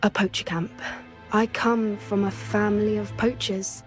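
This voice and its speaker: A woman voicing a kind, earnest teenage witch in a video game, with a British accent and a gentle, confiding manner. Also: mid-range voice, level tone, measured pace, faint music behind, lightly acted. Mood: confiding, wistful. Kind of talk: video game cutscene acting.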